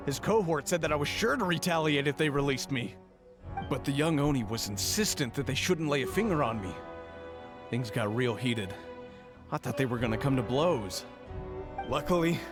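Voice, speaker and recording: A jittery merchant voiced by an actor, speaking in a slightly high voice that still sounds rattled and on edge. He rambles on nervously, and game music plays clearly behind him. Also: lightly acted. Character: jittery middle-aged merchant man, nervous. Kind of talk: video game voice acting.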